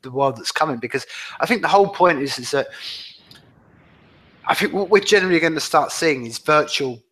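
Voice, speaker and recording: Male podcast host, quick delivery, slightly high voice, casual, rambling, repetitive and matey. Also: animated, fairly bright tone. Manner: rambling, repeats words, punctuates with "man". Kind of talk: casual, animated conversation.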